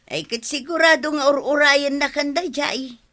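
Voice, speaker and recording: A woman's voice: sits high, normal speed, sharp tone. A woman acting a teasing, scolding village wife in an Ilocano comedy radio drama, with drawling exclamations. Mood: calm nagging.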